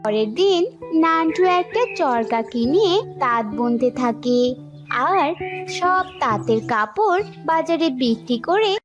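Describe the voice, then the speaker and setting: High-pitched female children's story narrator. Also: lively intonation, unhurried, over music.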